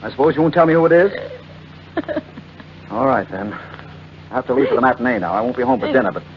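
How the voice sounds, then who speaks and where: An actor playing a suspicious, insistent husband in a theatrical 1940s radio style. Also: male voice, mid-range voice, animated, fast talker, natural voice. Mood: angry. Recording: muffled, noisy 1940s radio recording.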